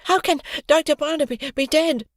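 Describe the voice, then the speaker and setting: A narrator voicing a nervous young boy with a squeaky, trembling voice. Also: animated, normal speed, no echo, studio quality, lightly acted. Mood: agitated. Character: nervous boy Scooter, easily panicked. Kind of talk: audiobook character voice.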